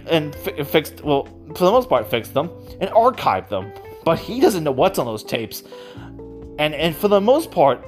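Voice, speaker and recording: An excitable, giggly speaker rambling casually about a favourite hobby, often repeating and stammering over words. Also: mid-range voice. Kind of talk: casual rambling monologue about audio dramas.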